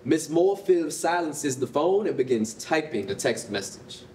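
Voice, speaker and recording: Lively woman narrator in an echoey hall. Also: unhurried. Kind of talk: scripted narration of staged reading. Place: concrete rehearsal hall, live staged reading. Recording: roomy, distant mic, noisy rumble.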